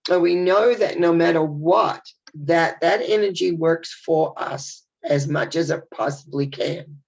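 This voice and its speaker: A woman's voice: low-pitched, measured pace, creaky. An older woman speaking in a chatty, reflective video message, her voice nasal and congested from a head cold. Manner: chatty, warm and reflective, with rambling restarts. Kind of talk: casual spoken video message. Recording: dull lo-fi sound.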